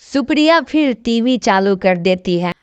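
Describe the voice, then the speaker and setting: A woman's voice: fairly high pitch, animated, unhurried, a little mellow, clean tone, no echo, dull audio. A woman reading scripted narration for a children's moral story.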